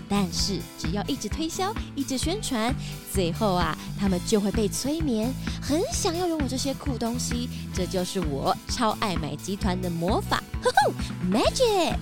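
A woman's voice: fairly high pitch, very animated, moderate pace, over loud music. A woman with a Taiwanese Mandarin accent voicing a vain, gushing pelican business tycoon in a children's detective radio play. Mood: smug, boastful. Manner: boastful, self-satisfied, salesy.

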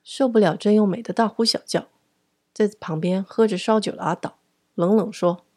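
A woman's voice: medium pitch, moderate pace, warm tone, dry recording, clean studio sound. A woman with a Taiwanese accent hosting a podcast and narrating a scripted story.

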